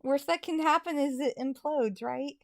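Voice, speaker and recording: A woman's voice: high-pitched, moderate pace, creaky. A woman co-hosting a casual livestream and reading viewer messages in a self-deprecating, hesitant way. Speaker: livestream co-host and hobby voice actor.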